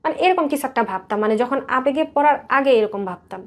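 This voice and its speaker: Higher-pitched Bangladeshi woman, hesitant. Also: animated, brisk. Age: 30s. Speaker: guest telling life story. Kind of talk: personal confession in interview.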